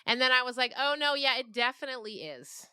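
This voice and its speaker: A woman's voice: high voice, sing-song, fast talker, bright, forceful tone, clear, resonant tone, no echo, studio quality. A woman novelist being interviewed, telling stories conversationally in an emphatic way and often checking in with the listener. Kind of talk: animated conversational storytelling.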